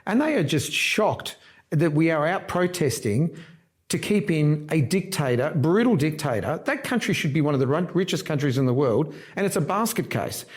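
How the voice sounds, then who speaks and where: A middle-aged man born in Argentina who speaks, sitting as a political guest in a relaxed conversation. His voice sits a little higher than most men's. He talks emphatically and keeps cutting off words to restart them. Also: normal speed.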